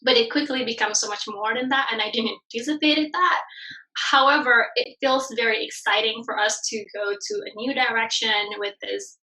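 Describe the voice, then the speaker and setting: A woman from Bali, Indonesia, in her thirties, telling her story as a guest in a casual podcast interview. She talks in an animated way and laughs often. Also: a little high, normal speed, poor audio. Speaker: guest, branding expert.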